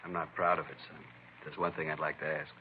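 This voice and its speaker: A man's voice: rumbling low, flat delivery, quick pace, husky. An actor playing a grave, weary middle-aged father in a 1950s radio drama. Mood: subdued, hurt. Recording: muffled, hissy vintage radio recording.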